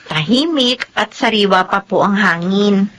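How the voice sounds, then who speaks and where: A woman's voice: mid-range voice, animated, measured pace, fairly bright tone, a little hiss or hum. A woman playing an affectionate, cheerful grown daughter in a Filipino radio drama.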